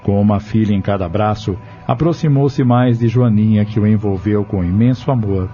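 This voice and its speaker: Low, mellow Brazilian male narrator. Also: normal speed. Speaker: radio drama narrator and announcer. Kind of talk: scripted narration. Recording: dull lo-fi radio recording with music.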